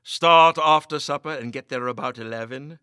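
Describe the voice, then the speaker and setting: A man voicing a bland, wry, adventurous gentleman who teases his friends in a storybook reading. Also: medium pitch, normal speed, clear, resonant tone, no echo, studio quality, natural voice. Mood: eager teasing.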